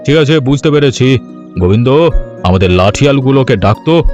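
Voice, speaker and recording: A man playing a gullible, anxious village landlord in a cartoon, earnest and a little halting, pleading yet bossy. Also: mid-range voice, expressive, a little mellow, dull audio, natural voice. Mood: stern. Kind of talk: cartoon story dialogue. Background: music.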